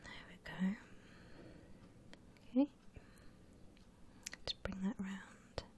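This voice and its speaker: A woman gently whispers an ASMR drawing tutorial in a breathy voice, explaining each step without hurry. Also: mid-range voice, drawn-out words.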